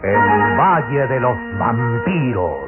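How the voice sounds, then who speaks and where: A man's voice: mid-range voice, lively intonation, slow pace, narrow, tinny audio. A man announcing an old radio serial in a theatrical, declamatory style. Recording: thin vintage radio recording under loud music. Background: loud theme music.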